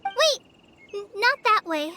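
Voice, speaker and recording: A woman's voice: very high-pitched, sing-song, slow pace, noisy background, heavily acted. A woman voicing a shy, earnest young trainee forest ranger in a video game who often stammers. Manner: timid, stammering.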